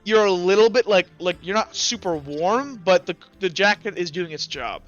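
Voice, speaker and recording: A man's voice: high-pitched, lively intonation, moderate pace, a bit bright, muffled recording, faint music behind. A man as game master, narrating a tabletop game in a chatty, informal, loosely improvised way.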